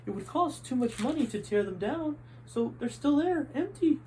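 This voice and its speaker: Man voicing a woman librarian, eager, high-pitched, storybook reading, faint hum. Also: animated, moderate pace, a little mellow, low-quality recording. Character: chatty middle-aged woman librarian.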